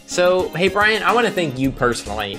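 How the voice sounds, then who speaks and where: A man's voice: sits high, very animated, fairly quick, music in the background. A man hosting a casual chat in a playful, teasing way with dry humour. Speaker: podcast host.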